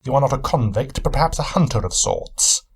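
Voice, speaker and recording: A man's voice: medium pitch, lively intonation, brisk, vocal fry, breathy edge, pitched above the performer's own voice, exaggerated voice. A man voicing a thin, keen-eyed older male detective who speaks in a formal, courteous, deducing way. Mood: probing.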